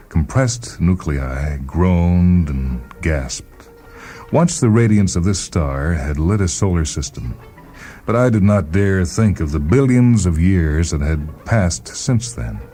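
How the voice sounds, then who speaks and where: A man hosting and reading short fiction in a measured, deliberate, dramatic narration style. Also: sits low, dark tone. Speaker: host and reader of a short story programme. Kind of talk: dramatic scripted story narration. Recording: music playing behind the voice. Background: music.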